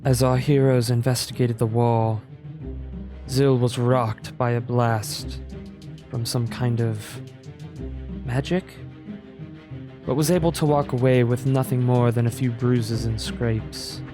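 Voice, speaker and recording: A man reading a scripted fantasy recap in a measured storybook manner. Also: mid-range voice, steady tone. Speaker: narrator. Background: clear background music.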